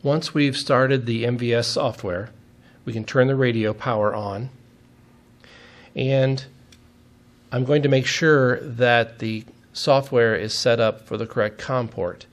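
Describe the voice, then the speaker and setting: A man's voice: mid-range voice, steady tone, unhurried. A man giving a step-by-step technical demonstration in a methodical, plain-spoken way, as an instructor would.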